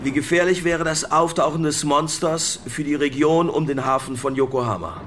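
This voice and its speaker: An actor playing a composed news anchor in a radio drama, asking questions in a formal, measured, polite way. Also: male voice, fairly high pitch, fairly bright tone, a little hiss or hum, lightly acted. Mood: serious, inquiring. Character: composed male news anchor questioning experts on a monster disaster. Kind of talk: scripted radio-drama newscast interviewing.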